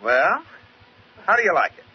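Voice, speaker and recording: An American actor playing an affable, teasing husband in an old-time radio sitcom. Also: male voice, high voice, big pitch swings, moderate pace, breathy edge, heavily acted. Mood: hopeful. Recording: noisy, dull old radio recording.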